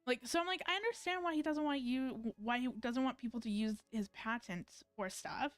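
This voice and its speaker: A woman's voice: high voice, normal speed, clear, resonant tone, dry recording. A woman streaming a game with casual, colloquial commentary, rambling and often interrupting herself mid-thought. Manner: rambling, colloquial, frequent hedging and self-interruption.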